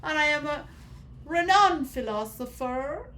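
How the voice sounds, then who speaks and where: A woman giving a rambling philosophical monologue to role players, shifting between soft musing and loud emotional outbursts. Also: high-pitched, expressive, a little slow, slight background noise. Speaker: speaker addressing role players.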